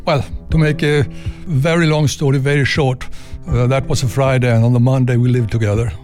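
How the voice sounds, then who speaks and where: An older man from Sweden with a Swedish accent, chatting calmly at home as an interviewee and pausing to find his English words. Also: mid-range voice, faint music behind. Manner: calm, plain-spoken, pauses to find English words. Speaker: interviewee, retired army officer. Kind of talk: casual chat at home. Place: indoors, home library.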